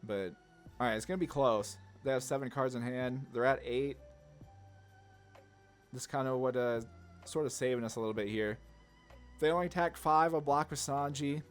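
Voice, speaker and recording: A man's voice: medium pitch, moderate pace, long pauses. Casual gaming man talking over music. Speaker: card game video host. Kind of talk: casual gameplay commentary, thinking aloud. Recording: music under the voice.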